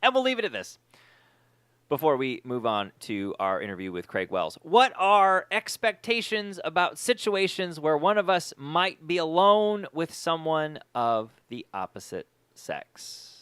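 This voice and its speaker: Animated male podcast host, dry close sound. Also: on the high side, a little slow, clean tone, no room sound. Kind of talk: casual chat and interview.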